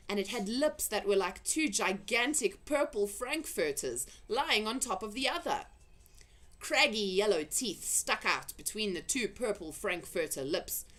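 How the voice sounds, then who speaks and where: Bright, animated woman reading a storybook. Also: mid-range voice. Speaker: storybook reader. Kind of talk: expressive children's story narration.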